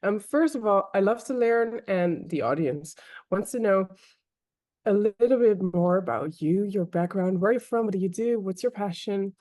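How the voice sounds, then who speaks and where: A woman hosting an interview podcast, talking casually and hesitantly, with many pauses and repeated words. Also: mid-range voice, animated, dark tone, no room sound, clean studio sound. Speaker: podcast host.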